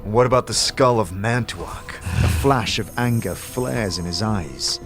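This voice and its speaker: A man giving grave, expressive dramatic narration of a fantasy story. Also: fairly low pitch, unhurried. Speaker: narrator, also voicing the player character. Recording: music behind the voice.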